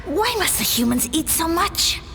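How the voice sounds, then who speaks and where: A woman's voice: very high voice, sing-song, moderate pace, vocal fry, fairly bright tone, in a large hall, full character voice. A woman voicing a small, pompous male mushroom lord in a video game, grandiose and aggrieved, with a theatrical, imperious delivery. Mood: aggrieved, scheming. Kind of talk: video game character acting.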